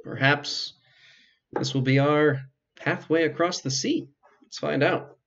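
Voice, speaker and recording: A man's voice: a little high, fairly even intonation, slow, fairly bright tone. A man giving casual let's play commentary as the host of a video game playthrough. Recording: slightly muffled sound, music behind. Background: game music.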